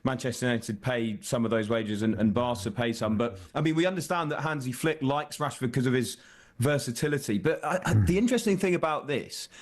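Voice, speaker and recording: A man's voice: mid-range voice, fairly quick. A man working as a football reporter, explaining transfer news in an off-the-cuff, conversational broadcast discussion.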